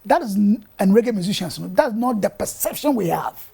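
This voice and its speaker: Older ghanaian guy, fairly high voice, talks about his music mixing twi and english. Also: animated, a little fast, vocal fry, dark tone, husky. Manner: mixes Twi and English, sings snatches of his song. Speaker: reggae musician guest. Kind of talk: casual interview talk.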